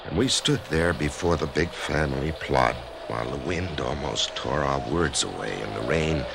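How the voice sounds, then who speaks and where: A scared, stammering husband in a radio play, his gravelly voice rising and falling sharply over a faint hiss. He talks in anxious, broken phrases. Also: extremely low pitch, expressive, normal speed, heavily acted. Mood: narrating. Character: frightened dying husband, middle-aged man. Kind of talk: 1990s radio drama acting.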